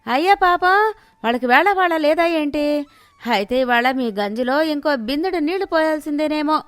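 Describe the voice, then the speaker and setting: Woman putting on a high-pitched nosy village neighbour. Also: animated, fairly quick, dry recording. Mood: mock pity. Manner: brash, gossipy, exclaiming. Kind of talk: acted moral storybook dialogue.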